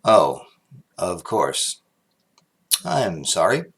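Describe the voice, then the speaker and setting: Casting: man to play an earnest, none-too-quick inspector for radio mystery, lower register, blustering and exclamatory. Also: huge pitch swings, gravelly, a bit bright, slightly rough, heavily acted. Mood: urgent. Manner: emphatic, brusque, exclamatory. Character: blustery, earnest, slightly slow-witted male police inspector. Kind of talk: old-time radio mystery acting.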